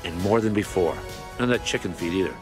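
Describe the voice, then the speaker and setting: A man's voice: low-pitched, fast talker, music in the background, close to an everyday voice. A man acting a slick, mercenary and reluctant mob lawyer in a video game, with a smarmy, evasive manner. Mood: evasive, sly.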